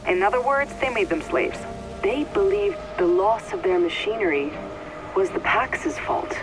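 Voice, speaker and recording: An actor playing a troubled expedition companion in a video game cutscene. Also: male voice, high-pitched, expressive, unhurried, over music, rough recording. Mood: somber.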